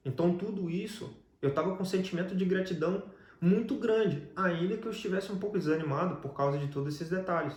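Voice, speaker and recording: Male, Brazilian Portuguese accent, slightly high voice, conversational faith testimony, storytelling guest, reverberant room. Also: brisk, a little mellow. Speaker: guest telling his life story.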